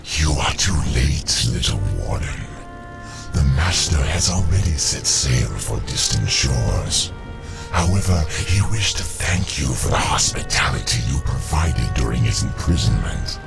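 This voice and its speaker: An extremely deep, menacing serpent creature from a fantasy game. The voice is thick with gravel and swings theatrically as it slowly mocks its prey. Loud music plays underneath. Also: male voice, very animated, heavily acted. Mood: sinister taunting. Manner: slow, taunting, theatrical. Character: menacing male naga sea-serpent servant, mocking and sinister. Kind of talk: fantasy video game voice acting.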